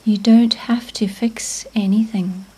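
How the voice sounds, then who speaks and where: A woman narrating a guided meditation in a gentle, soothing, hushed manner, with phrase endings fading toward a whisper. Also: medium pitch, steady tone, takes it slowly, slightly rough.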